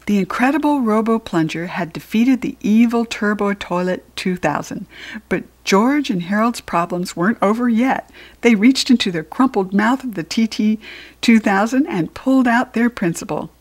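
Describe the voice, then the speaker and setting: A woman's voice: mid-range voice, lively intonation, moderate pace. American woman reading a children's storybook. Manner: playful, informal. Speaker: read-aloud narrator for children. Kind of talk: expressive children's storybook reading with playful asides.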